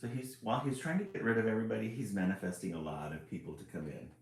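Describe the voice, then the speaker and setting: A man's voice: fairly low pitch, lively intonation, quick pace, roomy sound. A man hosting a casual read-aloud stream, chuckling and greeting viewers by name as he reads a children's book.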